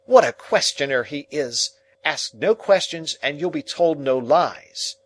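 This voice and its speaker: Storybook figure: a sharp-tongued scolding sister, high and piercing, put on by a male narrator. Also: big pitch swings, takes it slowly, slightly rough, exaggerated voice. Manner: snappish, scolding, loud.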